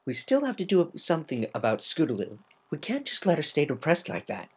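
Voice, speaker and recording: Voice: mid-range voice, huge pitch swings, fairly quick, breathy edge, pitched above the performer's own voice. A performer voicing an earnest, concerned young female cartoon unicorn pony with a sensible manner.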